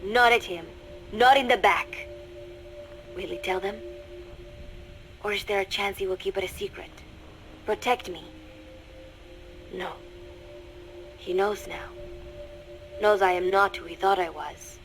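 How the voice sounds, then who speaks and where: An actress playing a young female spy in a video game monologue, coldly resolved yet fragile, speaking in measured, deliberate phrases. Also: mid-range voice, fairly bright tone, a little hiss or hum, low-quality recording. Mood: anxious, despairing. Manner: measured, deliberate, introspective confession.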